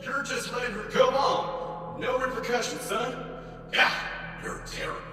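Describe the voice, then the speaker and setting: A man's voice: very high voice, steady tone, a little slow, bright, forceful tone, faint music behind, full character voice. A man playing the indignant, accusing ghost of a mayor in a radio drama, theatrical and scolding, with a ghostly reverb effect. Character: indignant wronged ghost of a small-town mayor. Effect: echoing ghostly reverb. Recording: distant, hall reverb, hiss.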